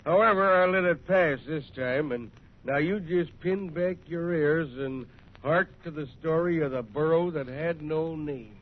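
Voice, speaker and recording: An actor playing a jovial old American frontier ranger, telling yarns in a rustic, folksy manner in an old radio western. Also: male voice, a little slow, close to an everyday voice. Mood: hearty. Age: older. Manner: rustic, folksy, chuckling drawl. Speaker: storyteller. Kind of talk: 1930s radio western storytelling. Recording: tinny, noisy old radio recording with rumble.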